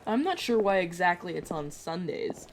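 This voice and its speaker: A woman's voice: fairly low pitch, big pitch swings, moderate pace, slight background noise. A woman playing a chatty, playful young male vampire mentor in an audio roleplay, talking casually to the listener. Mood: explaining, upbeat. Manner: teasing, casual, dramatic about food. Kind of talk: audio roleplay acting, addressing the listener directly.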